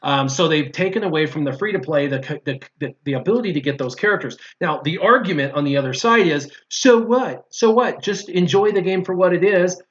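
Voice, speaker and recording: A man's voice: fairly high pitch, lively intonation, brisk, few pauses. A man talking casually and with strong opinions as a guest in a gaming discussion, repeating his points for emphasis. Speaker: guest, former mobile game player. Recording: dull lo-fi audio.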